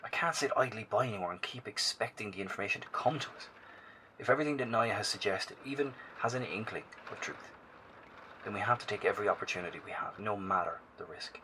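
A man's voice: fairly low pitch, fast, sharp tone. A man acting in an audio drama as a determined, risk-taking scout reporting over a two-way radio. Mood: resolute. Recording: noisy radio link with ambience.

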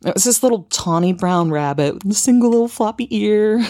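A woman's voice: medium pitch, animated, brisk, dark tone, lightly acted. An actress playing a wry, curious young woman in a naturalistic audio drama, talking in a hesitant, sardonic, conversational way. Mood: puzzled, reflective.

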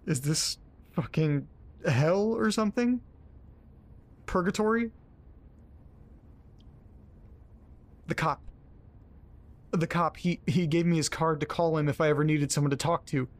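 A man acting in an audio drama as a frightened, hungover man alone in the dark, halting and repeating himself as he swears. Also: a little high, close to an everyday voice. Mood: anxious, frustrated.

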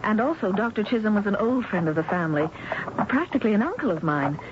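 A young female lead from a 1970s radio mystery, played by an actress, earnest and anxious behind polite reserve. Her voice sits at a middling pitch. The recording is dull, with clear noise behind it. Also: lively intonation, quick pace, natural voice. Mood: worried. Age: young adult. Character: anxious young woman, a voice student worried about her father. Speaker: lead actress and first-person narrator. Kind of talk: 1970s radio drama acting.

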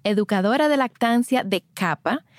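A woman's voice: on the high side, expressive, normal speed, no room sound. A woman hosting a podcast, introducing and chatting in a conversational interview style. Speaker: podcast host, birth and postpartum educator.

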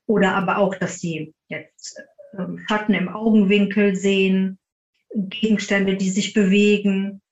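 A woman's voice: medium pitch, dull audio. A woman speaking as a podcast guest, explaining her work in detailed, conversational answers. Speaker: podcast guest, paranormal investigator.

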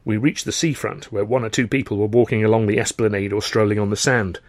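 A man's voice: lowish voice, steady tone, fast talker, no echo. A man narrating a novel as a dramatised audiobook, with wry, wordy expression. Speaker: author reading his own novel.

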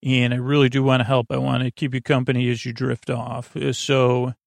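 A man hosting a bedtime podcast, rambling in a halting, meandering way and often trailing off mid-thought. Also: medium pitch, flat delivery, no room sound. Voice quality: creaky, gravelly. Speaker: podcast host.

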